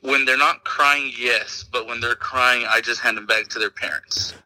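Man, phone guest, casual chat, very bright forceful tone, no room echo. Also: mid-range voice, steady tone, moderate pace. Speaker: called guest. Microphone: phone call.

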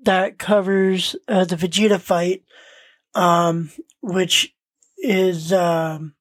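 A woman co-hosting a podcast in casual, unscripted talk, speaking hesitantly and often pausing mid-sentence. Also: lowish voice, little pitch movement, no room sound. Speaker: podcast co-host.

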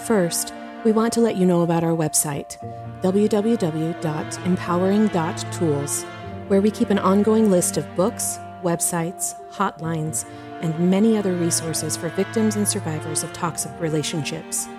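A woman with a slightly low voice reads a scripted podcast message. She is measured, earnest and supportive, with clear music playing behind her. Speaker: podcast cast member and announcer.

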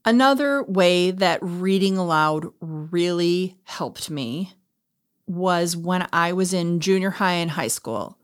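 A woman's voice: lowish voice, slow, faint music behind. A woman talking casually and reflectively as a podcast host, explaining things through her own experience. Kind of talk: casual conversational talk.

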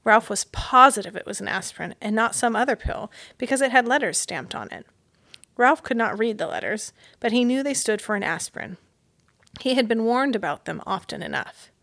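A woman reading a children's story aloud in an expressive, deliberate storytelling manner. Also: a little high, vocal fry. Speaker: mystery guest reader, school staff. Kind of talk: children's audiobook read-aloud.

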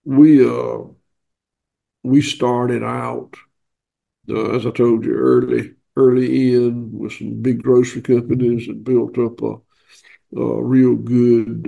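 An older Southern man speaks in a gravelly, husky voice, stuffed up from a cold. He talks in a plainspoken, folksy way over a video call, with a dark, mellow tone. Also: medium pitch, flat delivery, low-quality recording. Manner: folksy, plainspoken, halting with fillers. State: head cold, stuffy nose. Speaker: guest farmer. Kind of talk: casual interview.

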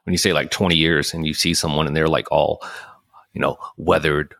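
A man's voice: rumbling low, level tone, fairly quick, vocal fry, fairly bright tone. A man, hosting a podcast in a laid-back, joking way. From Alabama, United States. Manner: jokey, laid-back, says y'all. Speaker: podcast host. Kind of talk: casual chat.

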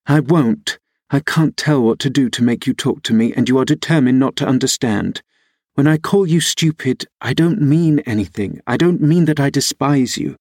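A man's voice: mid-range voice, animated, a little slow, breathy edge, no room sound, clean studio sound. A man voicing a willful young woman who pleads insistently with her cousin in an audiobook novel.

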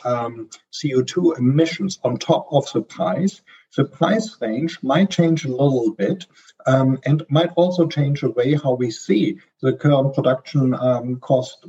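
German-accented man, hesitant and mellow. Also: mid-range voice, breathy edge, dull audio. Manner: hesitant, many ums and restarts. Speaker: supply chain consultant guest. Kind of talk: podcast interview answers.

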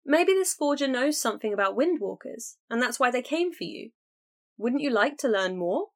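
A woman's voice: a little high, lively intonation, normal speed, pitched above the performer's own voice. Woman voicing an eager, pleading young sister. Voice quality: bright, urgent and persuasive. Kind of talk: audiobook character voice.

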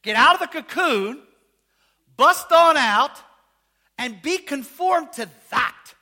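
Pastor, male, unusually high and bright voice, casual and joking, quizzes the congregation, bursts into shouts. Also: takes it slowly. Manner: animated, rising to shouts, asks the congregation questions. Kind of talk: sermon.

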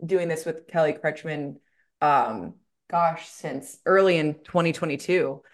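A woman's voice: deep voice, measured pace. A young woman co-hosting a podcast in casual chat, with a laid-back, informal, slangy way of talking. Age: young adult. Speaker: podcast co-host, softball player.

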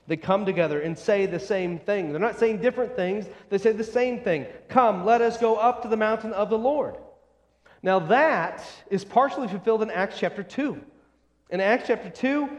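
Male preacher, high-pitched voice, emphatic sermon delivery, deliberate repeated phrases, long hall reverb. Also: highs cut off. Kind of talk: expository sermon.

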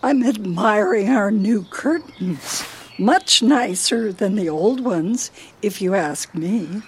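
A woman's voice: mid-range voice, lively intonation, slow, a little hiss or hum. A woman playing a feisty elderly wife in a radio play, teasing and needling her husband theatrically.

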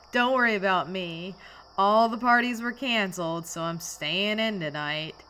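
A woman's voice: medium pitch, unhurried, sharp tone, poor audio. A woman acting a cheeky young small-town waitress who loves Halloween in an audio drama. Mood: friendly, teasing.

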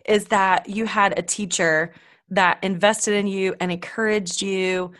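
A woman's voice: medium pitch, steady tone, unhurried, fairly bright tone. A woman hosting a podcast interview, enthusiastic and encouraging toward her guest. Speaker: podcast host. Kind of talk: casual interview chat.

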